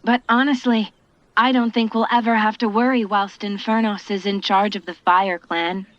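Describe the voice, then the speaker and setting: A woman's voice: mid-range voice, level tone, normal speed, a bit bright, dry recording, close to an everyday voice. A woman playing a good-natured, teasing young woman in a fantasy audio drama. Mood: earnest. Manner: teasing, conversational. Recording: dull, dry close recording.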